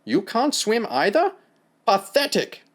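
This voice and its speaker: A man's voice: high-pitched, huge pitch swings, slow, voice pushed up, exaggerated voice. A man voicing a stern sixty-year-old German army doctor with a German accent, blunt and scornful.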